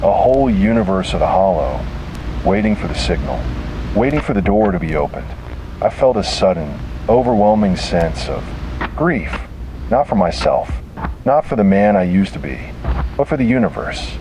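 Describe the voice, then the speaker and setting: A man reading a scripted first-person horror story in a solemn, measured manner with short, deliberate phrases. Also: low voice, big pitch swings, background noise. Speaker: narrator.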